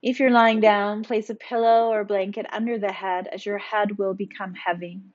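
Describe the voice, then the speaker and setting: Female yoga teacher for guided relaxation: soothing, gently instructive, mid-pitched, on slightly muffled lo-fi audio. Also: flat delivery, moderate pace. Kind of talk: guided meditation narration.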